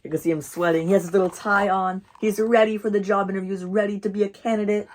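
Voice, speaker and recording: Woman, storytime host. Expressive, encouraging read-aloud delivery, mid-pitched, with asides and questions to listeners. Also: fast.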